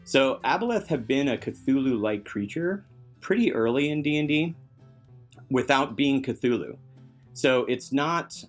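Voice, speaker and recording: A man chatting excitedly and casually as a podcast guest and game designer, enthusiastic and quick to chuckle. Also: mid-range voice, faint music behind.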